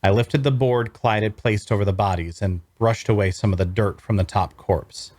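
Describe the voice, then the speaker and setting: A man playing a brooding adventurer, narrating his grim journey in a measured, deliberate way at a scripted table read. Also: lowish voice, a little mellow, lightly acted.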